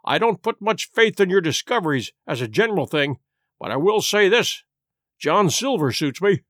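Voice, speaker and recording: A level-headed doctor, as a man reads him, speaking evenly and reasonably in a fairly high voice. The recording is dry and close. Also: big pitch swings, normal speed, fairly bright tone, no room sound, heavily acted. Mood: measured. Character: calm, measured male country doctor.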